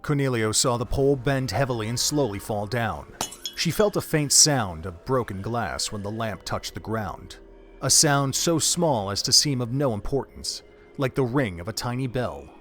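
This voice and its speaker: A man giving scripted story narration for an audio drama in a measured, even way. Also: mid-range voice.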